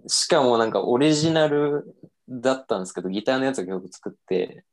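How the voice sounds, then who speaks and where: A man's voice: medium pitch, animated, fast talker. A young man casually telling a self-mocking story on a podcast, with lots of fillers.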